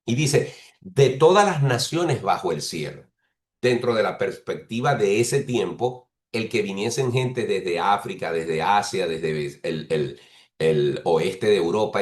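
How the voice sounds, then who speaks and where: A man preaching a teaching sermon who explains slowly and restates each point several ways. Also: mid-range voice, reverberant. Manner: explains patiently, repeats and rephrases points; speaks bilingually of Spanish and English.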